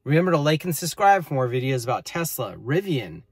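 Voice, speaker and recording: A man's voice: fairly high pitch, big pitch swings, fairly quick. A middle-aged man explaining car features in a casual vlog from inside a parked car, filming on a phone held at arm's length. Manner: plain, matter-of-fact explaining. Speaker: car tech vlogger.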